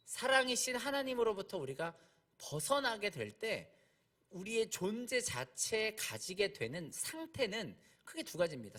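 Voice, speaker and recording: A man's voice: sits high, fairly bright tone. A man preaching a conversational sermon, explaining as he goes, repeating key points and posing rhetorical questions.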